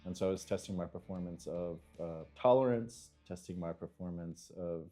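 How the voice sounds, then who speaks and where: Guest: American man, low and mellow, halting and reflective, faint music behind. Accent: American English. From United States. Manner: thoughtful, halting, clears his throat. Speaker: interview guest, engineer. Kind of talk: reflective interview answers.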